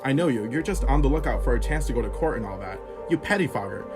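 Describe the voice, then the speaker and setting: Stage comedy role, played by a man: cantankerous elderly father, mid-pitched, puffed-up and blustery, music playing behind him. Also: expressive, quick pace, lightly acted. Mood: scornful, blustering. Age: older. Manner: pompous, blustery, tacks on verbal tags. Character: blustering, irascible old landowner father, quick to insult.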